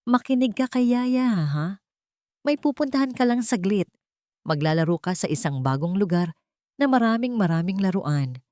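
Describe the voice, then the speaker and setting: A woman's voice: mid-range voice, animated, moderate pace, warm tone, no echo, studio quality, close to an everyday voice. A woman voicing a loving young nanny, heartbroken and pleading, with a trembling voice in an audio drama story. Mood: tender, coaxing.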